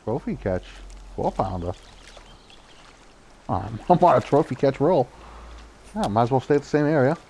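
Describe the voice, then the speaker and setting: A young man with a Boston accent, chatting casually as he streams a fishing game, getting excited at each good catch and laughing often. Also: mid-range voice, moderate pace, creaky, pauses often, a little hiss or hum. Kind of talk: casual live gameplay commentary.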